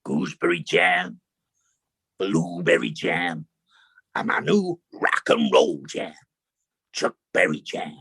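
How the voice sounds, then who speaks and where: Man playing a creaky, husky, animated tradesman. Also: low-pitched, slow, pauses often, dry recording, heavily acted. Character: animated tradesman and jam seller. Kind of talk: comedy audio drama.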